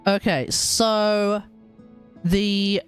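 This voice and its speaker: Male game streamer, fairly high voice, casual chatter, halting, repetitive phrasing, faint music behind. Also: fairly even intonation, clear, resonant tone. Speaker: game livestreamer. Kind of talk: casual live stream commentary.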